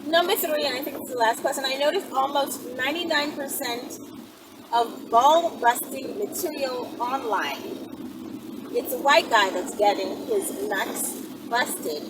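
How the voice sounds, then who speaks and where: A woman's voice: high voice, a little slow. A woman chatting casually and candidly to viewers as a vlogger, in a rambling, playful, slangy way. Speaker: vlogger answering viewer questions. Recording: noisy, distant mic.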